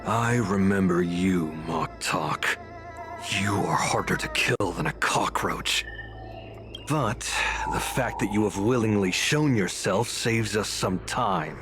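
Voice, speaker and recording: An actor playing a stern, weary and self-effacing retainer in a video game, measured and dry, with controlled menace. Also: male voice, fairly low pitch. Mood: cool menace. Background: game music.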